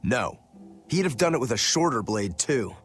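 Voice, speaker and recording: A man's voice: mid-range voice, big pitch swings, normal speed, fairly bright tone, slight background noise. A man voicing a plainspoken, brooding demon swordsman in dubbed video game dialogue. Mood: frustrated, blunt. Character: male swordsman turned demon, brooding, self-critical eldest-rival brother.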